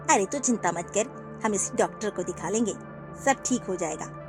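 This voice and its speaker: A kindly aunt bird from a cartoon, as a woman puts her on, talks in a warm, motherly way. She pitches it somewhat lower and keeps it soothing, with faint music behind. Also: normal speed, lightly acted.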